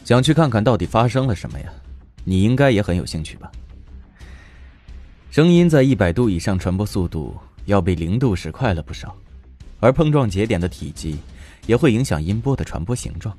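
Casting: eccentric genius scientist, low voice, playful and precise, audio drama over music. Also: male voice, expressive, a little fast, mellow. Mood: amused curiosity. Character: brilliant, eccentric male scientist, playfully curious and unsettling. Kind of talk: Chinese audio drama acting. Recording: music under the voice. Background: background music.

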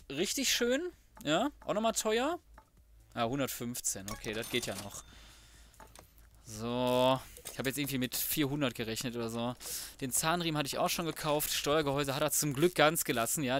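A man with a mid-pitched voice commentates a game casually. He talks in loose, colloquial phrases, half to himself. There is noise and clicking behind him. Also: fairly bright tone. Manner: colloquial, muttering to himself while working. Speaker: let's play host. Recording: clear background noise, mouse clicks.

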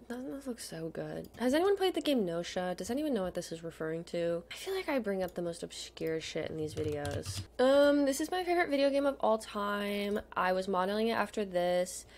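A woman's voice: medium pitch, a little mellow. A young adult woman chatting casually over screen browsing as a video host, gushing and slangy, sometimes dropping to a murmur. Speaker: video host, K-pop photocard collector. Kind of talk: casual rambling screen-share commentary.

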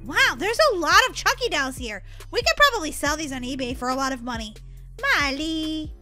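A woman giving excitable game commentary as a video host, in a girlish, dramatic, exclamatory manner. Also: sits high, quick pace, over music.

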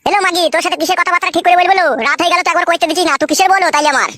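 A whiny cartoon husband from the village, put on by a voice performer, coarse and pleading. The voice sits high and races along at a very fast clip, nagging in broad comic style. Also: steady tone, sharp tone, no echo. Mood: annoyed, demanding. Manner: nagging, wheedling, coarse-mouthed. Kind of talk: exaggerated comic cartoon dialogue.

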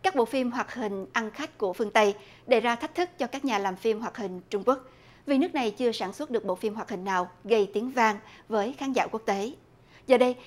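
A woman in her thirties presenting a scripted news introduction formally in a television studio. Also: fairly high pitch.

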